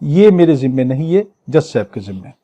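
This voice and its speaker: A man's voice: fairly high pitch, big pitch swings, a little fast, dark tone, slightly rough, lo-fi sound. A man with a Pakistani accent giving an exam lecture, reading clauses aloud and explaining them in a didactic way, often answering his own questions. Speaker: law lecturer.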